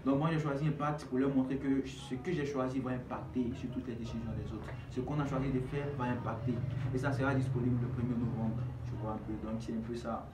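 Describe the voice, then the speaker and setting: A man's voice: mid-range voice, level tone, fast talker, hardly any pauses, recorded from a distance. A young man with an Ivorian accent, a rapper giving casual interview answers in street slang. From Ivory Coast. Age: young adult. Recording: echoey room, off-mic, clearly noisy.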